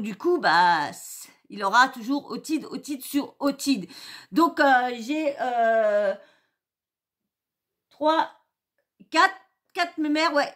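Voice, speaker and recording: Woman host, high voice, casual halting commentary, stumbles and repeats herself. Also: fairly bright tone. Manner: hesitant, many fillers and repeated words. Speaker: YouTube channel host. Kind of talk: casual commentary reading social posts aloud.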